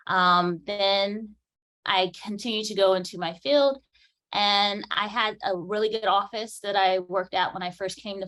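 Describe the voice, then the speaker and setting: A woman in her forties, a dental hygienist, giving an earnest, animated conference talk and laughing often. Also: medium pitch.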